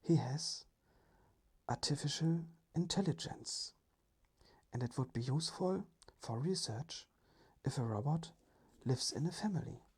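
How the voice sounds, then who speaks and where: A storyteller voicing a courteous, thoughtful older male professor in a breathy ASMR storybook whisper. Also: mid-range voice, lively intonation, measured pace, long pauses, a little mellow, pitched above the performer's own voice.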